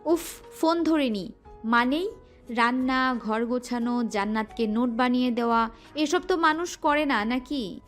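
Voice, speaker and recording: A woman's voice: on the high side, unhurried, fairly bright tone. A female voice actor playing a shy, teasing young wife in an audio romance drama. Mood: scolding. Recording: music bed underneath.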